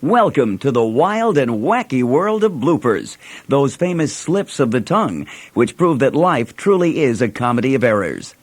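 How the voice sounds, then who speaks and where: A man's voice: mid-range voice, sing-song, normal speed. A man reading scripted narration as presenter of a recorded collection. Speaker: narrator introducing a bloopers collection.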